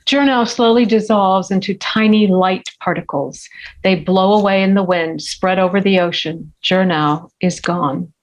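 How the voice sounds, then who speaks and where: A woman's voice: mid-range voice, a little slow. A woman reading scripted screenplay stage directions as narrator at a table read. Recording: dull, lo-fi remote audio.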